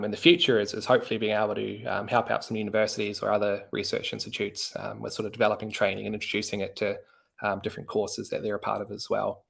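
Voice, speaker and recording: A man's voice: fairly low pitch, creaky. A man hosting a podcast discussion, talking casually with many fillers and hedges. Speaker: podcast host.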